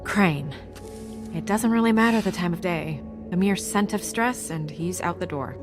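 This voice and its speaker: An actress playing a composed, dutiful woman official in a video game, measured, businesslike and firm. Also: fairly low pitch, expressive, over music, close to an everyday voice. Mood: weary, practical. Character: composed, dutiful, practical woman official in dark fairy-tale town. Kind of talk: naturalistic video game cutscene acting.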